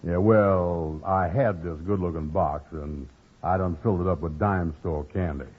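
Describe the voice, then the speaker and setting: A man playing a slow-witted, self-important big lug with a folksy, ponderous drawl in a 1950s radio comedy. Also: deep voice. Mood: smug, lovestruck. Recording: tinny, hissy old radio recording.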